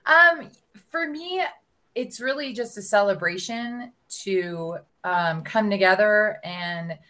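A woman's voice: medium pitch, fairly bright tone. A woman speaking as a panel guest, hesitant and full of fillers as she thinks aloud. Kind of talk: panel discussion.

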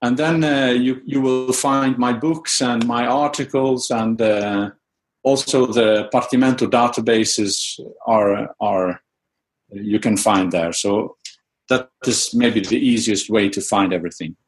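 A man's voice: mid-range voice, fairly even intonation, a little slow, low-quality recording. A middle-aged man whose first language is Dutch, answering interview questions about his research with many fillers and restarts. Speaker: music researcher, interview guest.

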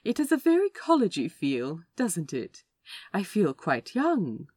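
A woman voicing a genteel, friendly man in a fan fiction audio story, speaking politely with a husky, creaky voice. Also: medium pitch, wildly swooping pitch, unhurried, a little mellow, dry recording, studio quality, full character voice. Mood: pleased, nostalgic. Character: Aziraphale, genteel, friendly man who smokes on occasion. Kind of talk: fan fiction podfic character acting.

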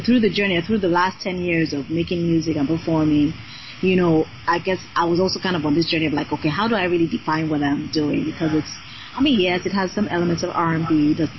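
An energetic woman of Nigerian heritage, a singer and designer, chatting freely as a podcast guest with many fillers. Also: low voice, highs cut off, noisy background. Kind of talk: casual interview chat.

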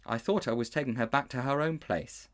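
A man's voice: a little fast, natural voice. A man voicing a guilty, frightened young boy who stammers in a raised voice. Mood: anxious. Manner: anxious, stammering, raised pitch.

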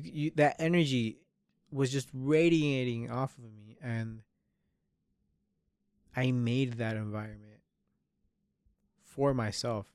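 Young guy in his twenties podcasting alone, open and sweary, lots of restarts, smooth clean voice, dry close sound. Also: medium pitch, darkish tone, no echo. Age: 20s. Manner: candid, swears often, trails off and restarts. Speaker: podcast host. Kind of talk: solo reflective podcast monologue.

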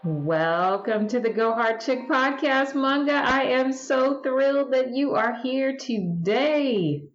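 A woman's voice: high voice, animated, takes it slowly, dull audio, faint music behind. A woman hosting a podcast, eager and encouraging toward her guest. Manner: encouraging, eager, repeats words. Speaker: podcast host.

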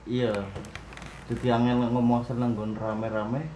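A man's voice: mid-range voice, level tone, a little slow, dark tone, roomy sound, a little hiss or hum. A young man with a Banyumasan Javanese ngapak accent, giving short, reluctant answers as a podcast guest. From Cilacap, Central Java.

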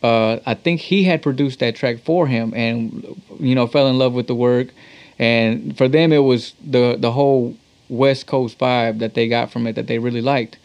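A man's voice: medium pitch, fairly even intonation, normal speed, darkish tone, muffled recording. A man from Texas with an American accent chatting casually as an interview guest, telling stories in a slangy, laid-back way with some swearing. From Texas, United States. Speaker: musician and producer, interview guest.

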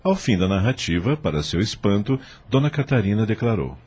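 A man's voice: fairly low pitch, very animated, fairly quick, a little mellow, husky. A man narrating a scripted radio drama as its storyteller.

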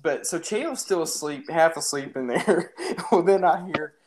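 A man's voice: a little high, measured pace. A young man casually telling an anecdote in an informal, jokey, exaggerating way. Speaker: storyteller in a podcast-style phone chat.

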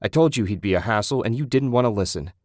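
A man's voice: on the low side, expressive, quick pace, a little mellow, no room sound, clean studio sound, natural voice. Man doing a hot-tempered, accusing husband. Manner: angry, accusing, curt.

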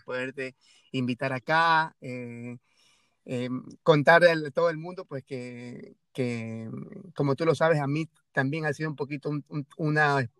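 A man's voice: a little high, a bit bright, clean tone, no room sound. A man from Ecuador with a Guayaquil accent, hosting a podcast in a casual conversational way, hesitant and full of fillers and restarts. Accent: Guayaquil Ecuadorian Spanish. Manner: hesitant, many fillers and restarts, tag questions. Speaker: podcast host.

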